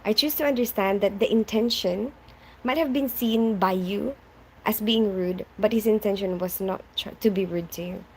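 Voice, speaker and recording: A young woman who grew up in the Philippines hosts a casual live stream, greeting viewers by name and replying to comments with frequent laughs. Her voice sits at a middle pitch, and she talks through a home mic. Faint background noise runs underneath. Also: moderate pace, darkish tone, rough recording. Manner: chatty, reads viewer names and answers them, laughs often.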